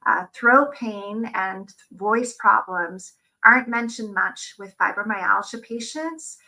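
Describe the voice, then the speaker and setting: A woman's voice: medium pitch, slow, vocal fry, sharp tone, rough recording. A woman giving a live conference presentation from her slides, talking about her own experience in a candid, personal way.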